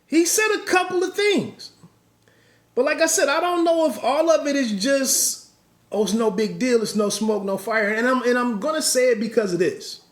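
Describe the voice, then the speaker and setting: A man's voice: very high-pitched, moderate pace, roomy sound. A man hosting a podcast, giving solo boxing commentary in a rambling way with many restarts and asides.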